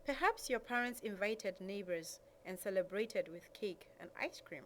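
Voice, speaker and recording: A woman's voice: mid-range voice, lively intonation, moderate pace, a bit bright, low-quality recording. A woman reading a prepared statement aloud. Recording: clear background noise and room ambience.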